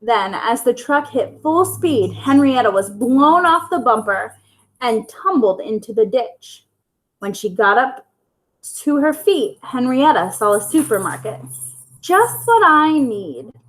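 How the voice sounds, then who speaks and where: Higher-voiced woman reading a children's story. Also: animated, a little slow, rough recording. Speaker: school storyteller. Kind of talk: children's story read-aloud.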